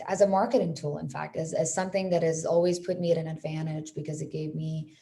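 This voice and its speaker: A woman's voice: sits low, flat delivery, fast, gravelly, dark tone. A woman in her thirties from Iran, whose first language is Persian, speaking in a friendly way on a panel with many fillers. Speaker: panelist, lawyer. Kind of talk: panel talk over video call.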